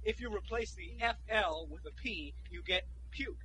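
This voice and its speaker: A man's voice: high voice, moderate pace, pushed and bright, slight background noise. A man voicing a clumsy, dim-witted male sidekick who fumbles and stammers eagerly. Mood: bumbling. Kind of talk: comedy sketch acting.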